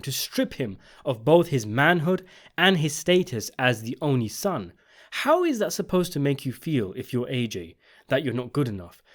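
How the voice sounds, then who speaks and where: A man delivering scripted video essay commentary on a TV series, in an emphatic, opinionated way. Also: on the high side. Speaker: video essayist and channel host.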